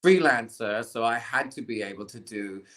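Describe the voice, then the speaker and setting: A man from Australia with an Australian accent, a dancer chatting as a podcast guest in a lively, easy way. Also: mid-range voice, normal speed. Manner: lively, laughs often, supportive. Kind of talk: casual podcast chat.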